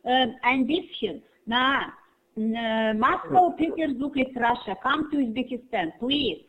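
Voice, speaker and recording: An older woman with a bright, high voice, German her first language, rambling on with gushing praise in halting English. She sounds squeezed and thin, like audio from a phone line or cheap online call. She speaks into a computer mic on a video call. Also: expressive. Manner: rambling, effusive, halting non-native English. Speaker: conference participant, human resources teacher. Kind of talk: online conference chat.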